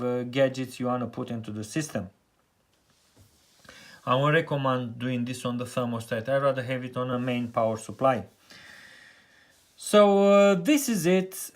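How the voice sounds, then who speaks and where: Romanian-accented male heating engineer, slightly higher voice, halting how-to explanation with many fillers. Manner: halting, many fillers and restarts, plain and practical.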